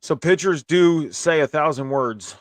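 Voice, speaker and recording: A man with a hoarse voice, strained from screaming at a concert, hosting a casual, informal review and commentary show about wrestling. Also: a little high, measured pace, no room sound.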